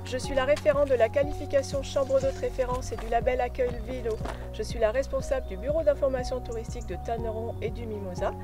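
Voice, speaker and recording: Female project officer, high voice, scripted promo introduction over music. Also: fast. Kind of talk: scripted staff presentation.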